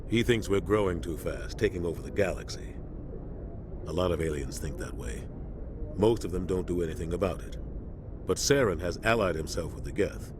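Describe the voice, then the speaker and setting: Man playing a deep-voiced, grave starship captain. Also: lightly acted. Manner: measured, authoritative. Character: seasoned, loyal, grave military starship captain. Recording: clear engine-like ship noise behind.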